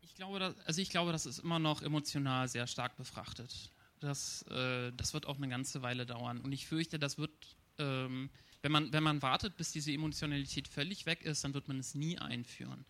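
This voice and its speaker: Bright-voiced man arguing on a panel. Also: mid-range voice. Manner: measured, restarts phrases while arguing. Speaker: panel guest. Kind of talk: panel discussion.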